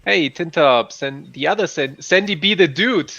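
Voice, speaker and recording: A man's voice: a little high, normal speed, creaky, a bit bright, dull audio. Upbeat male show host. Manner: upbeat, greets chat viewers by name. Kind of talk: casual morning show chat.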